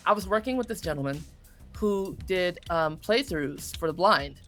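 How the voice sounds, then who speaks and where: A woman with a slightly low voice talks casually as an interview guest. She is animated and self-critical, voicing her own inner thoughts as quotes. Music plays in the background. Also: moderate pace. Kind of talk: casual chat while playing a video game.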